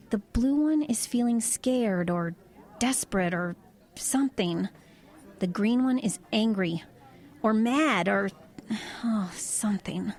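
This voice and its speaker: A woman's voice: medium pitch, darkish tone. A woman playing a level-headed truck driver in an eerie audio drama, talking in an everyday conversational way. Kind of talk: audio drama dialogue and narration.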